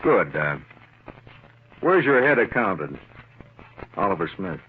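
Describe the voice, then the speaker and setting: An actor playing a polite, businesslike government agent with a formal, official manner in an old-time radio crime drama. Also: male voice, low-pitched, big pitch swings, slow pace, slightly rough, exaggerated voice. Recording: muffled, faintly noisy old radio recording.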